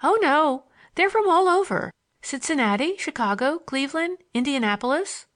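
Woman voicing a teenage schoolgirl, raised pitch, swooping intonation, cheeky, girlish, teasing, storybook dialogue. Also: sing-song, normal speed. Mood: chatty. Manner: bright, teasing, girlish chatter. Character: playful, pert teenage schoolgirl, cheeky and romantic.

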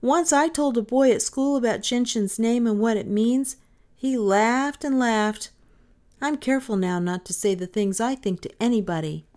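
A woman's voice: on the high side, moderate pace, darkish tone, pitched above the performer's own voice, natural voice. A woman voicing a careful, serious young boy, a protective older brother in a storybook. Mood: confiding. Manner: earnest, serious.

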